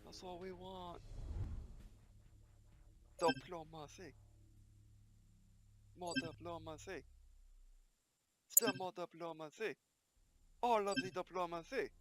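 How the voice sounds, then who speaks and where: A man commenting on his own strategy game playthrough, excitable and jokey, talking back to the game. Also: high voice, slow, pauses often, very noisy. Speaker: gamer playing a strategy game. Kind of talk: let's play commentary.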